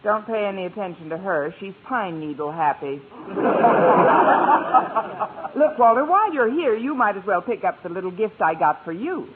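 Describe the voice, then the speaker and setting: A woman's voice: mid-range voice, animated, normal speed, long pauses, crowd noise, noisy background, close to an everyday voice. Tinny radio recording, actress playing a female schoolteacher, dry, wry, courteous, widely varied intonation, sitcom acting. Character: wry, gracious single woman schoolteacher.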